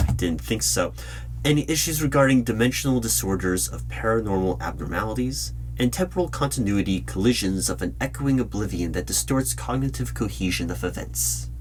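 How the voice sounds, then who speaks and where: A man with a low voice plays a polite but secretive government hiring manager in a spoken ASMR roleplay. He talks formally and deliberately, leaving pauses. A clear hum and the clatter of computer keyboard typing sit behind him. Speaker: roleplay video creator playing an interviewer. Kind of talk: ASMR roleplay, spoken rather than whispered.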